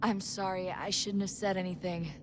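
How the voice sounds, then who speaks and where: An actress playing a considerate, curious young teammate in a video game, speaking in a conversational, tactful way. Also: female voice, mid-range voice, flat delivery, moderate pace, lightly acted. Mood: sympathetic, curious. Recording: background ambient noise.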